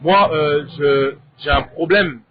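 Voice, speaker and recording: A man's voice: a little high, slow pace. A man with a Congolese accent giving his opinions in a conversational debate. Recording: thin, phone-like narrow sound.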